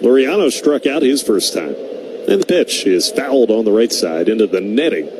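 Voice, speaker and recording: A man's voice: mid-range voice, very animated, normal speed. American baseball radio announcer, man, noisy feed. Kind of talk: live play-by-play commentary with sponsor reads. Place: ballpark broadcast booth. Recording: noisy live ballpark radio broadcast.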